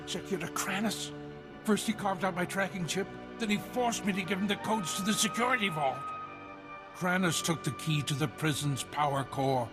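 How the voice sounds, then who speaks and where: Man acting a shaken scientist, higher-pitched. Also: normal speed, close to an everyday voice. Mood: shaken, urgent. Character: grave, frightened tortured scientist, pleading and earnest. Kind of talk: dramatic video game voice acting. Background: music behind the voice.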